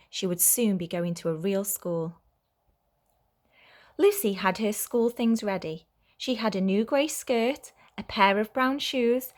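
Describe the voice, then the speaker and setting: Woman reading a children's storybook. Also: medium pitch. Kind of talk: children's storybook read-aloud.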